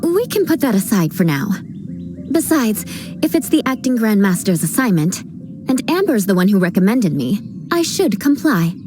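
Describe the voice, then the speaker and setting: A woman's voice: mid-range voice, sing-song, moderate pace, lightly acted. An actress playing a proud young noblewoman knight captain who talks with dry haughtiness and vows vengeance over every little slight. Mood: wry. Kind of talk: video game voice acting. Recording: game dialogue with background music.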